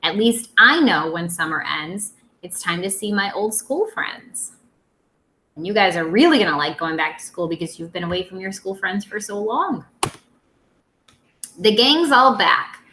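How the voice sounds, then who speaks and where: A woman teacher reading a picture book aloud to young children in an expressive, simple way. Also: medium pitch, measured pace, echoey room, a little hiss or hum.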